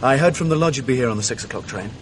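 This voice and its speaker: An actor playing a flamboyant, mischievous young student, confident and teasing, in a radio play. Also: male voice, mid-range voice, animated, rapid-fire, noisy background, close to an everyday voice. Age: young adult. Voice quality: confident, teasing, upper-class. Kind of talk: 1980s radio drama acting.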